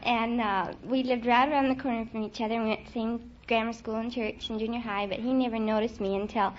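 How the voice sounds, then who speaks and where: A woman's voice: on the high side, steady tone, fast. A young woman from New Orleans chatting earnestly and in detail as a contestant on a 1940s radio quiz. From New Orleans, Louisiana. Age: young adult. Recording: old 1940s radio, dull, noisy, audience.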